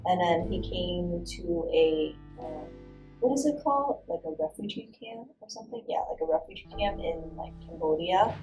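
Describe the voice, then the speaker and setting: A woman's voice: lowish voice, animated, moderate pace, darkish tone, faint music behind, poor audio. Chatty young woman telling her family story. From Vietnam. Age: young adult. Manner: casual, rambling with many fillers. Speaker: student interviewee. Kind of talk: personal storytelling.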